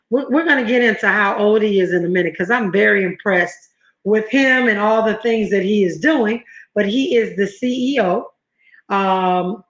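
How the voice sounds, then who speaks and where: A woman's voice: medium pitch, fairly bright tone. A woman hosting an interview show, chatty and enthusiastic, wandering into rambling asides. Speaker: host. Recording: echoey room, dull lo-fi audio.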